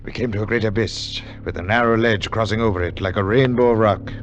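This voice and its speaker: A man's voice: lowish voice, fast talker, a little hiss or hum, natural voice. An actor playing a scholarly, awestruck adventurer who tells his tale earnestly and dramatically in 1940s radio style. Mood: awed narration. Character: male scholarly adventurer narrating, sceptical and awestruck. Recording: dull 1940s radio recording with music.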